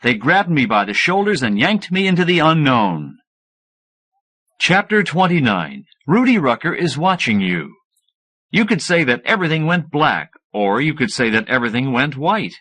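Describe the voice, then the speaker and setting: A man reading first-person fiction as an audiobook narrator, in an expressive scripted style. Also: fairly high pitch, moderate pace.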